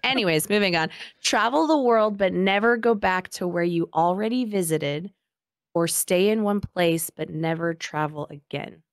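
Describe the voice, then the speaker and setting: A young adult woman co-hosting a podcast, reading quick-fire questions in a playful, teasing way. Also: lowish voice, dry recording, studio quality. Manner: playful, teasing, laughs often. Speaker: podcast co-host. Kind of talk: casual podcast chat.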